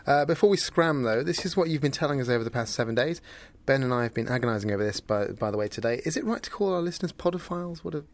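Joking podcast host. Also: male voice, medium pitch, animated, very fast, few pauses. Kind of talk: casual chat and interviewing.

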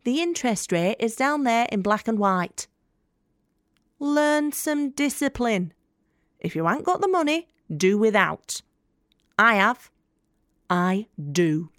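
A woman's voice: a little high, big pitch swings, slow pace, pauses often, a bit bright, dry recording, clean studio sound, full character voice. A woman acting a scornful, hard-nosed working-class debt collector in an audio drama monologue, blunt, colloquial and sarcastic.

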